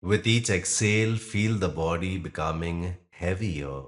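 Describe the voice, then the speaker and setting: A man leading a guided yoga nidra meditation, speaking calmly and gently in short, unhurried phrases with pauses. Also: low-pitched. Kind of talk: guided meditation, slow instruction with long pauses.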